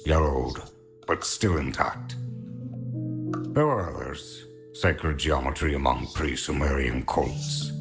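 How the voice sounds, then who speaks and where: An actor playing a brooding, world-weary noir detective, narrating gravely and deliberately in a gravelly voice. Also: male voice, exaggerated voice. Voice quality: very deep, gravelly. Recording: music under the voice.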